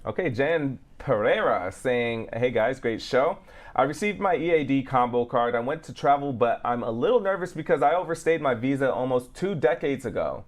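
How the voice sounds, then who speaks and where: Mid-pitched man reading questions as co-host. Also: animated, moderate pace. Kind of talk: reading questions aloud, casual show banter.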